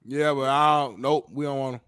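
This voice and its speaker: A man's voice: mid-range voice, steady tone, fairly quick, fairly bright tone, clear, resonant tone. A man in his thirties hosting a casual sports talk show, opinionated and laughing often. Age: 30s. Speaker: host.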